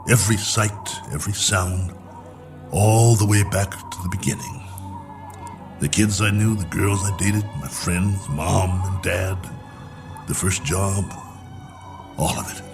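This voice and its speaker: An actor playing a lonely stranded space colonel in a radio drama, musing in a wry, world-weary way. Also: male voice, deep voice, steady tone, slow, long pauses, music in the background. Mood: wistful, musing.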